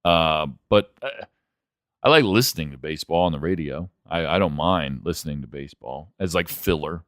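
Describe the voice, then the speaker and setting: A man's voice: rumbling low, moderate pace, fairly bright tone, no echo, clean studio sound. A man co-hosting a casual sports chat, speaking bluntly and opinionatedly with plenty of swearing. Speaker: sports podcast co-host.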